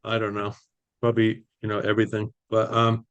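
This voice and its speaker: A man, sharing his recovery story at a meeting over a video call, halting with many fillers. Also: lowish voice, monotone, lo-fi sound. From Boston area, US. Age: 60s. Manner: halting, many ums and you-knows, restarts. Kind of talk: personal recovery share.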